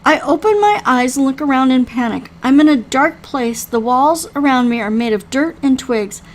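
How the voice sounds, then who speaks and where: A woman reading a first-person story aloud in an expressive, dramatic way. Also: high voice, moderate pace, rough recording. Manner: expressive, acts out the dialogue. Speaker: reader playing through a visual novel.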